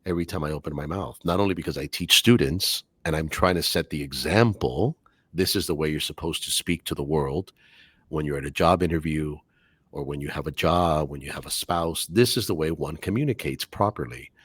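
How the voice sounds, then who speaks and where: A man's voice: a bass voice, a little fast, husky, no room sound. A middle-aged man, a native Spanish speaker and English teacher, speaking articulately with careful diction in a casual podcast interview.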